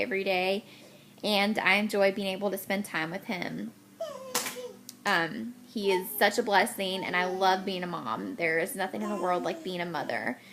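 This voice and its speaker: A woman's voice: medium pitch, a bit bright. A woman in her twenties chatting casually to the camera, rambling with many ums. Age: 20s. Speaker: vlogger.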